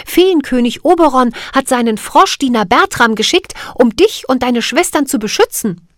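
A woman's voice: sits high, animated, normal speed, a bit bright, no echo, studio quality, pitched above the performer's own voice. A woman reading a storybook voices an eager young girl. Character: two eager young girls, human.

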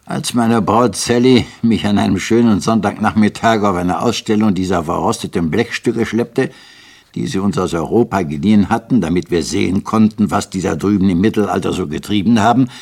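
A man narrating a first-person story in a measured, evenly paced storytelling manner. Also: lowish voice, vocal fry, a little mellow, breathy edge. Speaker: audiobook narrator.

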